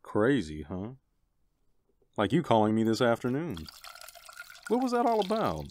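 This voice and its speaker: A man's voice: medium pitch, big pitch swings, unhurried, darkish tone, slight background noise. An actor playing an easygoing, affectionate husband and father in a radio drama. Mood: genial.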